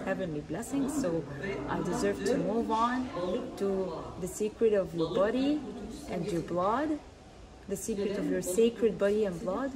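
A young woman whose first language is Arabic renders a text into English piece by piece, pausing to find words. Her voice sits at a middle pitch, with a clear murmur of people around her in a church. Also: low-quality recording. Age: young adult. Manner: halting, searching for English words. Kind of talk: translating a written prayer aloud. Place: large church interior. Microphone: camera held at arm's length. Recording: crowd murmur inside a church.

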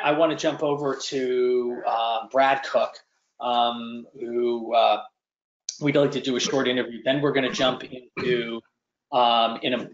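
A middle-aged man hosting a webinar on a video call, talking conversationally with many ums and restarts. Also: medium pitch. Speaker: webinar host. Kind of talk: presenting and interviewing. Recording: roomy, dull video call audio.

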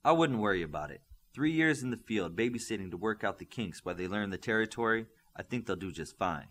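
A man voicing a confident, reassuring male superhero mentor in an audiobook, giving measured advice. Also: fairly low pitch, animated, a bit bright.

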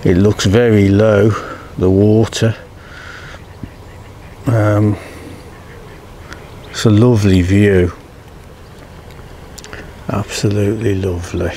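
An older English man with a low, gravelly voice chats his way along a canal towpath. He talks in a relaxed, homely way and keeps inviting viewers to follow him. A cabled mic catches him outdoors over a faint hiss. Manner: chatty, keeps saying folks and follow me. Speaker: vlogger. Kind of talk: casual vlog narration. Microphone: cabled mic while riding.

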